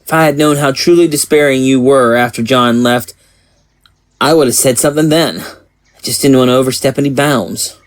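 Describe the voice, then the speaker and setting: A man plays a gentle small-town suitor in an audio drama. His voice is mid-pitched and sincere, with a dry, close sound. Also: steady tone, normal speed, dry recording, natural voice. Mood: tender, sincere. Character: gentle, earnest small-town man, shyly courting a friend.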